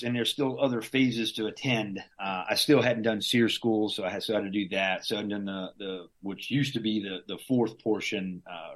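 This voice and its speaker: Man, middle-aged, from Southern Louisiana, lowish voice, rambling storyteller. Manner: rambling, frequent restarts and laughs. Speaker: interview guest, Green Beret veteran. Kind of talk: casual conversational storytelling.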